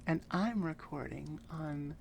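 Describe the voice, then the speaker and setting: A woman's voice: low-pitched, slow, vocal fry, a little mellow, lo-fi sound, a little hiss or hum. A woman creator chatting casually and effusively to her viewers, close on the microphone. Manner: effusive, enthusiastic. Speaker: ASMR creator. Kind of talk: casual thank-you chat to viewers. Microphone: close microphone on an arm.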